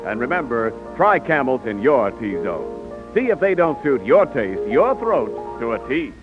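A man's voice: medium pitch, very animated, a little slow, music in the background. An American man working as a radio announcer, reading scripted copy in a polished, declamatory 1940s style. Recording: muffled old radio broadcast.

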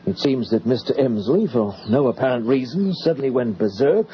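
An actor playing a grave, courteous English police inspector in a radio horror drama, measured and formal, on an old recording. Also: male voice, mid-range voice, expressive, lo-fi sound, slight background noise, close to an everyday voice. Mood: somber.

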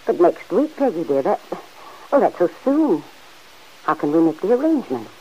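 An actress playing a gentle, worried older aunt in a 1940s radio drama, speaking softly and hesitantly. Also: female voice, fairly low pitch, very animated, moderate pace, breathy edge, dull audio.

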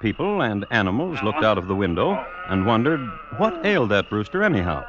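A man's voice: lowish voice, very animated, a little fast, a crowd in the background. An American man narrating as host in a dry, wry, folksy 1950s radio storytelling style. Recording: muffled old radio recording.

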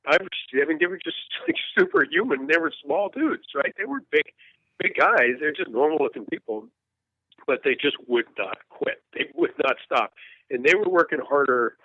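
A man's voice: fairly high pitch, sing-song, no room sound. Earnest man in his fifties on a tinny line. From United States. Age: 50s. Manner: earnest, frank, halting with restarts. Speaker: war journalist guest. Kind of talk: candid interview storytelling. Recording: tinny phone-line sound, dry.

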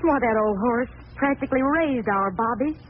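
A woman's voice: a little high, moderate pace, lightly acted. An actress playing a poor, sentimental country mother in a 1940s radio drama. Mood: wistful, sad. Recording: tinny, faintly noisy old radio recording.

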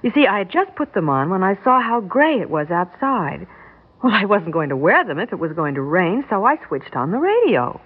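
A woman's voice: mid-range voice, very animated, moderate pace, slightly rough. An actress playing a chatty, easily exasperated young New York woman in a radio drama, baffled and sarcastic in a conversational way. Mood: musing. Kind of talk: 1960s radio drama acting. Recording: muffled narrow-band old radio recording.

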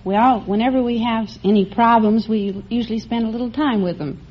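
A woman's voice: fairly high pitch, brisk. An American woman chatting casually as a contestant on a quiz show. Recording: muffled old radio, audience, faint noise.

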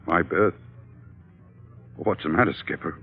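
A man's voice: deep voice, unhurried, slightly rough, faint music behind. An actor playing a loyal, uneasy sailor in an old radio drama, speaking plainly and anxiously to his skipper. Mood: uneasy, defensive. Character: uneasy, loyal, rough-and-ready sailor in trouble. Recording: thin, phone-like vintage radio recording.

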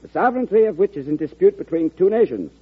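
An actor playing a self-assured, stubborn politician in a 1940s radio drama, speaking formally and firmly. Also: male voice, high voice, sing-song, quick pace, slightly rough, full character voice. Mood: firm resolve. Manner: declarative, formal, unbending. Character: self-assured, stubborn politician, coolly defying his party. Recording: tinny, narrow old radio recording.

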